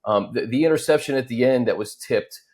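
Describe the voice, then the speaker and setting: Slightly husky man hosting sports talk. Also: medium pitch, brisk, a little mellow. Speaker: sports show host. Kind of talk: casual sports analysis chat.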